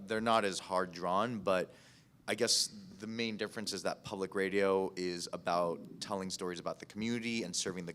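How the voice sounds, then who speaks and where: A man's voice: deep voice, fairly bright tone, smooth. An American man speaking informally and conversationally as a podcast producer on a panel. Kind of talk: casual panel discussion.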